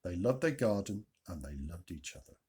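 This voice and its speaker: A man tells a children's story in a husky voice with very lively intonation. He leans on key words with dramatic pauses and turns to put questions to his young listeners. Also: deep voice. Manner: expressive, dramatic emphasis and pauses. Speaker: storyteller, reader.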